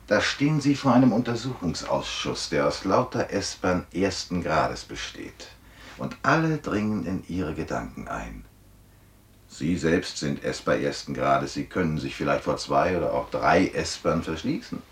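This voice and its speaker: An actor playing a shrewd, relentless police investigator in a science fiction radio drama, speaking with insistent, deliberate emphasis. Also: male voice, very deep voice, sing-song, distant mic, full character voice. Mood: accusing, confident. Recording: roomy studio echo.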